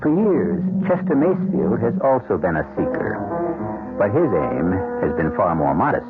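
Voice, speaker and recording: A man's voice: lowish voice, sing-song, normal speed. A man playing the wry, philosophical host of a radio mystery play, narrating theatrically. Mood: musing. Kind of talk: theatrical scripted narration, old-time radio. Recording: tinny old radio broadcast, loud music.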